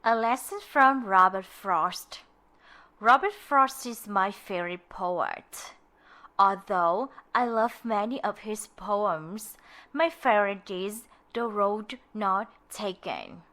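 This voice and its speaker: Female amateur reader for book narration: unhurried, deliberate, mid-pitched, pronouncing each word with care. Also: pushed and bright. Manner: careful, deliberate pronunciation practice. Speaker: amateur reader on own channel. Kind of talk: reading a book aloud.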